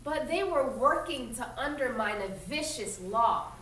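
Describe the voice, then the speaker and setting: A woman with a high voice reads aloud like a teacher to young children, stressing key words and stepping out to explain or question. She is instructive and expressive. The sound is roomy and slightly distant, with faint noise behind her. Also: measured pace. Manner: emphatic, instructive, checks understanding with questions. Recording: echoey room, distant mic, faint noise.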